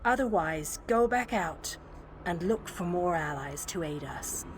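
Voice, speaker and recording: A woman voicing a calm, wise, ethereal guardian in a video game, speaking in a formal, measured, serene manner. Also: fairly low pitch, slight background noise. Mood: measured, advisory.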